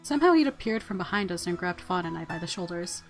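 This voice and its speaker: A woman reading visual novel narration aloud and reacting to it in an expressive, giggly way. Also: on the low side, fast talker, faint music behind.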